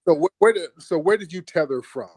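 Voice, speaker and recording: Blunt male livestream host, husky voice, dry close sound, grills callers hard. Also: sits high, lively intonation, fairly quick, a little mellow, dry recording. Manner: confrontational, blunt, interrogating callers. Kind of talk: heated call-in livestream.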